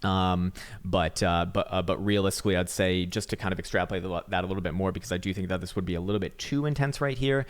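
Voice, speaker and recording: A raspy-voiced man giving casual, rambling chart analysis, swearing now and then and full of fillers and restarts. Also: low voice, fast. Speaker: market analyst.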